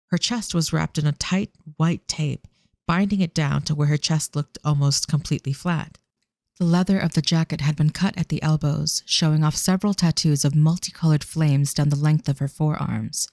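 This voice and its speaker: Dry, creaky-voiced audio drama narrator. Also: medium pitch, a little mellow, no room sound.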